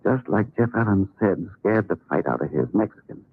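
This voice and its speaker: Gravelly, low-voiced cowboy hero. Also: male voice, animated, normal speed, a little hiss or hum. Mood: plotting. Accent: American Western drawl. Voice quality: gravelly, husky. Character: shrewd, alert cowboy hero of the Old West. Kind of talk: old-time radio western acting. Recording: tinny vintage radio recording.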